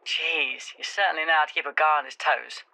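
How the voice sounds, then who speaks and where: A man's voice: fairly high pitch, steady tone, fairly quick, gravelly, bright, forceful tone, exaggerated voice, poor audio. A man acting an anxious, casual friend in a naturalistic audio drama. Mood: relieved.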